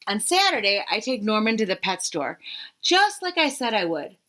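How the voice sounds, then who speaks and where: A woman narrating a children's story aloud in an expressive, emphatic way with dramatic pauses. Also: medium pitch, a little hiss or hum.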